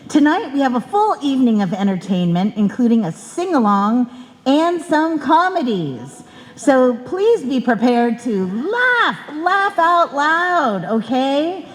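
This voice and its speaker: Slow, lilting woman announcer in a hall. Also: a little high. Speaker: announcer welcoming a live show audience. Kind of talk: prepared welcome speech to an audience. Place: indoor stage in a club hall. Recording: reverberant hall, live stage event.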